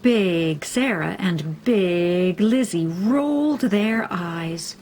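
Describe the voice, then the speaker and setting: A woman reading a children's story aloud, expressively and deliberately, with dramatic emphasis. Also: lowish voice, animated, darkish tone. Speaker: storybook reader. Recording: faint background noise.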